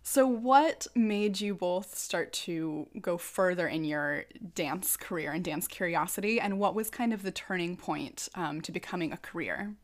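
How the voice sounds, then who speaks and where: A woman's voice: fairly low pitch, measured pace, hardly any pauses. A woman hosting an interview, asking questions and responding with frequent encouraging affirmations.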